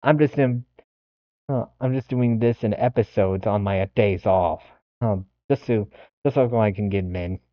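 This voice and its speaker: A man livestreaming a game, chatting casually in a hesitant, halting way on a lo-fi microphone. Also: on the low side, no echo. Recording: dull, lo-fi microphone.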